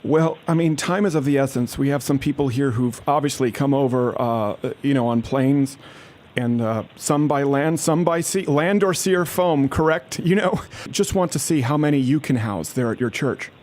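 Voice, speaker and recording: A man's voice: medium pitch, normal speed, a little mellow. Pushy, earnest-sounding male prank caller. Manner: persistent, falsely earnest salesman-like pushing. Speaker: prank caller posing as refugee aid worker. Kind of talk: prank phone call.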